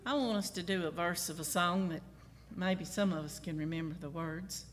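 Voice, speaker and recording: A man's voice: high-pitched, normal speed, fairly bright tone, in a large hall, a little hiss or hum. A man casually leading a group in a gospel song, coaxing the singers along in an informal way. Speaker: song leader.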